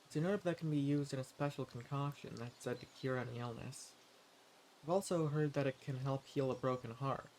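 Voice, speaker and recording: A man's voice: medium pitch, fairly quick, slight background noise, lightly acted. Man acting a polite young-hearted forager adventurer, birdsong behind. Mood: earnest, explaining. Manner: polite, earnest, gently hesitant. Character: friendly male adventurer and keen forager, polite, eager. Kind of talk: fantasy roleplay audio drama, addressed to the listener. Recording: clear outdoor nature ambience with birdsong. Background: forest ambience, birds.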